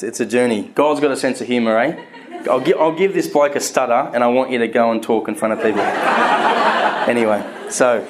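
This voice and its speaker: A man's voice: medium pitch, background noise. A man in his thirties with a stutter, giving a candid, earnest personal talk on faith to a live audience, often restarting his words.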